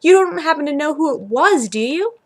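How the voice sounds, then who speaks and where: A bossy young man with a sharp tongue and little patience played by a woman narrator. The voice is fairly high, and the delivery is exasperated, as in an audiobook story. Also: animated, brisk. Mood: alarmed.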